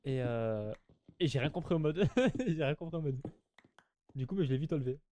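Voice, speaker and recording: Gamer guy, soft mellow voice, slangy chatter to viewers, bit of noise n clicks behind. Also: fairly high pitch, lively intonation, moderate pace. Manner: slangy, chatty, addresses viewers, repeats himself. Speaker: gaming YouTuber. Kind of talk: casual gameplay commentary to viewers.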